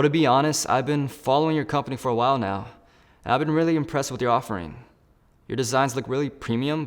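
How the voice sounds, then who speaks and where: A man's voice: mid-range voice, a bit bright, long reverb tail. A man role-playing a job candidate in a phone interview and explaining each step to the viewer in an upbeat way. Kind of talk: scripted role-play with asides to the viewer.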